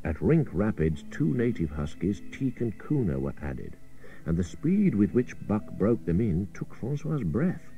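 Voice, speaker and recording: A man's voice: on the low side, sing-song, unhurried, vocal fry, dark tone, soft background music. A man reading an adventure story as a dramatic narrator, with a suspenseful, theatrical delivery. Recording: dull old radio cassette.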